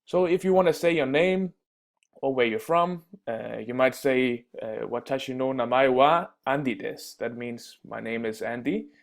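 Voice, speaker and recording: A man teaching everyday phrases in a casual spoken lesson, pausing often with fillers and restarts. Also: medium pitch, vocal fry. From Denmark. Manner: halting, many fillers and restarts. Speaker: language teacher.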